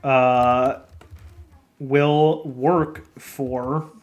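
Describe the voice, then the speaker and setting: A man's voice: mid-range voice, fairly even intonation, extremely slow, muffled recording. A man who develops games, chatting loosely with his livestream viewers in a rambling way, laughing often and swearing casually. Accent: non-native accent in Japanese. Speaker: indie game developer streaming. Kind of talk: casual livestream chat, answering chat. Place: lives in Japan as a foreigner.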